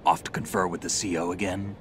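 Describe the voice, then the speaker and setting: A man's voice: low-pitched, level tone, fast, background noise, close to an everyday voice. A man playing a practical, self-assured military operative in a video game, with a dry, casual delivery. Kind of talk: video game cutscene acting.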